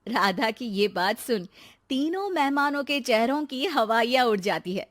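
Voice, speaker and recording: A woman tells a cartoon moral story. Her voice sits a bit high and rises and falls vividly, and she keeps the telling steady and clear for young listeners. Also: measured pace, no room sound, studio quality. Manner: lively storytelling. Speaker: narrator. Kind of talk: scripted storybook narration.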